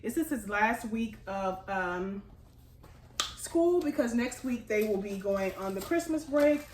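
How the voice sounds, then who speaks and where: A woman's voice: mid-range voice, lively intonation, a little slow. An American woman, chatty and informal with a congested nose, hosting a casual baking tutorial for her viewers. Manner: chatty, informal, addresses viewers as "you guys". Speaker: home baker and cooking channel host. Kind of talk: casual cooking tutorial chat. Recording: echoey room with faint background noise.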